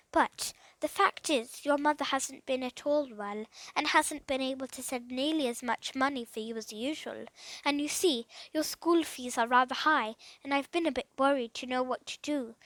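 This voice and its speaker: A woman reading as a worried, high-voiced aunt. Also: a bit bright, dry recording. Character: worried, tired, kindly middle-aged aunt. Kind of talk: children's storybook read aloud.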